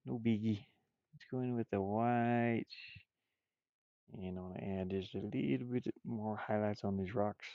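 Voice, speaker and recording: Guy with a creaky voice muttering along on a casual art stream, dry kinda dull mic. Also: fairly low pitch, steady tone, unhurried, no room sound. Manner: rambling, muttering to himself while working. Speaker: streamer, game developer. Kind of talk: casual livestream chat while drawing game art.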